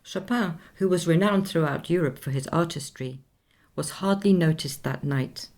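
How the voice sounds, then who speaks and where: An actress playing a dignified nineteenth-century Swedish opera singer in a radio play, speaking in a measured, formal, reflective way. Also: female voice, low-pitched, a little mellow.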